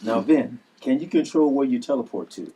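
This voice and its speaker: Man acting a mellow combat trainer uncle. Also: medium pitch, animated, moderate pace, dull audio, natural voice. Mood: calm, commanding. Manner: instructive. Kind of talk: table read of a screenplay. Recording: room recording with background noise.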